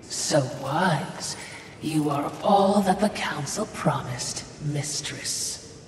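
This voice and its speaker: Game sidekick: sly spirit watcher, fawning and formal, set back in an echoing hall. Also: female voice, low voice, expressive, very slow, exaggerated voice. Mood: obsequious. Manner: deferential, formal, insinuating. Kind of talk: video game character acting. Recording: echoey distant hall, noise behind.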